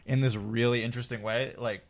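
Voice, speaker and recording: Casual man with a mid-pitched voice. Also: steady tone, fairly quick, gravelly. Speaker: podcast co-host. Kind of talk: casual group chat about pop culture.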